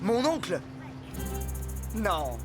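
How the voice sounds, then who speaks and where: Young cartoon soccer star, confident, high-pitched, music underneath. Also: male voice, big pitch swings, drawn-out words, heavily acted. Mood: relaxed. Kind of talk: cartoon dialogue. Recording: music behind.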